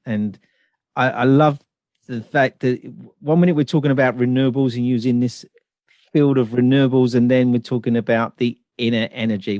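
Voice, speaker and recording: A man's voice: medium pitch, a little mellow, no room sound. A man hosting a podcast interview from home over a video call, friendly and chatty with loose phrasing. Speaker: podcast host. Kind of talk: casual interview chat. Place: home in London. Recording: dry close sound.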